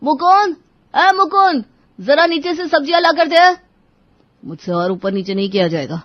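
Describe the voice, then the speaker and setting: A lazy cartoon father, acted by a woman, complaining irritably. The voice is high for the part, on a dry and slightly dull recording. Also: lively intonation, moderate pace, no room sound. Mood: weary, grumbling. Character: lazy, grumbling grown man, father of two boys. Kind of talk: animated moral story dialogue.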